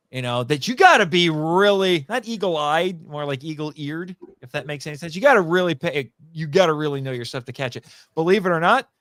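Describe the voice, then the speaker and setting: Clear, higher-pitched man chatting animatedly. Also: a bit bright, no room sound, clean studio sound. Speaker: guest, audio drama writer and voice actor. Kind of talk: casual fan chat.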